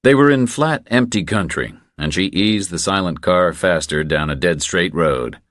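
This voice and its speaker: A man's voice: sits low, animated, moderate pace, a bit bright, dry recording, clean studio sound. A man reading a thriller audiobook as its narrator, in a scripted storytelling style.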